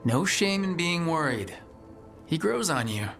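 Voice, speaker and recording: An actor playing a steady, supportive adventurer and team leader in a video game, calm and wry. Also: male voice, fairly high pitch, unhurried, gravelly, soft background music, heavily acted. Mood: reassuring.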